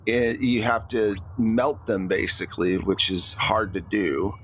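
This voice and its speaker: A man's voice: on the low side, a little slow. A man speaking as game master, narrating a tabletop roleplaying game in a conversational way. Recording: clear steady background noise.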